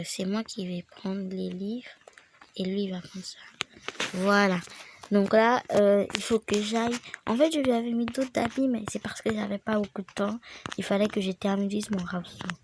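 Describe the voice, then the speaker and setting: A woman's voice: mid-range voice, noisy background. A woman with a head cold, rambling through a casual game tutorial and dropping into whispered asides. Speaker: gaming video creator.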